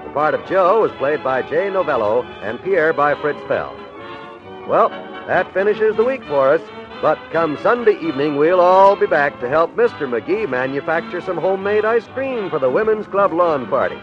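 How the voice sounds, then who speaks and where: A man's voice: a little high, lively intonation, moderate pace, over music. An American man announcing scripted promotions and credits in a polished, emphatic 1950s radio style. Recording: dull vintage radio recording.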